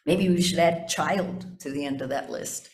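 An older woman pastor delivering a sermon from notes in deliberate, measured phrases, with asides to her congregation. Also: low voice, slight background noise. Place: indoors in a church sanctuary at a pulpit. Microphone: gooseneck pulpit microphone. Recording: echoey church sanctuary.

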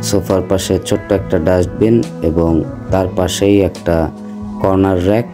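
A man's voice: deep voice, steady tone, moderate pace, over music. A man in his thirties with a Bangladeshi accent, a travel vlogger narrating a hotel review and explaining things to viewers.

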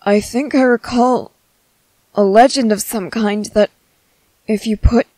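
A woman playing a gentle, curious young merboy in an ASMR roleplay, hesitant and musing to himself. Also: a little high, fairly even intonation, measured pace, no echo, rough recording. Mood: flustered, concerned. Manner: hesitant, musing to self, repeating words. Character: gentle, curious young merboy, soft-hearted and easily flustered.

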